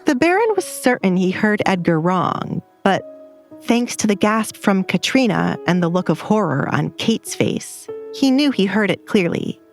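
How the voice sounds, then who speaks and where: A woman's voice: mid-range voice, very animated, unhurried, faint music behind. A woman reading scripted dramatic fiction as the narrator of an audio story.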